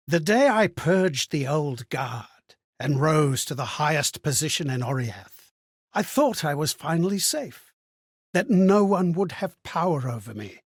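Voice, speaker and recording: An actor playing a haunted, amnesiac former Templar in a video game, speaking in solemn, archaic, ponderous monologue. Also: male voice, high voice, husky, no room sound, studio quality, heavily acted. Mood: grave.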